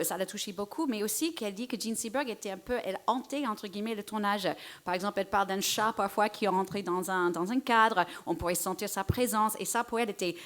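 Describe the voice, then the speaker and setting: A woman's voice: medium pitch, a bit bright. Bilingual woman moderating a press conference. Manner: summarizes in third person, switches between French and English. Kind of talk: interpreting and moderating.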